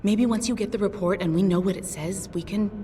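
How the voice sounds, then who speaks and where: A woman's voice: medium pitch, fairly quick, dark tone, a little hiss or hum, close to an everyday voice. An actress playing a tough, guarded female pilot who swears often in a video game cutscene. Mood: earnest. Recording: distant voice with long reverb over music.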